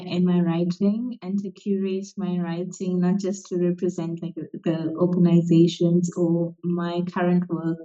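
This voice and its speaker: A young woman from Zimbabwe, a technology policy writer, speaking calmly and evenly as a guest in an interview over a video call. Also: lowish voice. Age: young adult. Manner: calm, measured speech. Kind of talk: conversational interview. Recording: dull, muffled lo-fi sound.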